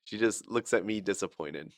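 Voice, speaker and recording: A young man with a lowish voice talks loosely over a game, full of fillers, restarts and self-deprecating asides. He's sick and keeps holding back a cough. The sound is dry and close. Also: normal speed, no room sound. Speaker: gaming channel host. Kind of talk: casual gameplay commentary.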